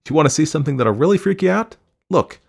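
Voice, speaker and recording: Audiobook wife, played by a male narrator: defiant, indignant, pitched up high with swooping intonation. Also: expressive, a little fast, darkish tone, natural voice. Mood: mocking, dismissive. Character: defiant, exasperated, environmentally minded wife.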